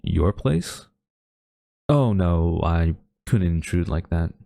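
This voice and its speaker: Tsundere male college rival, acted by a man: mellow, creaky young voice, halting and guarded, close dry audio-roleplay sound. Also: deep voice, lively intonation, no room sound, exaggerated voice. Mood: hesitant, nervous. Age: young adult. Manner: flustered, grumbling, halting denials. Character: tsundere young male university student rival, defensive, secretly smitten. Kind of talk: romantic audio roleplay acting.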